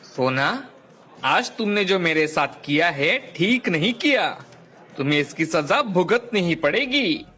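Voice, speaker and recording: A man's voice: high-pitched, big pitch swings, unhurried, sharp tone, breathy edge, heavily acted. A man playing a menacing, vengeful young college bully in a storybook tale, with music behind.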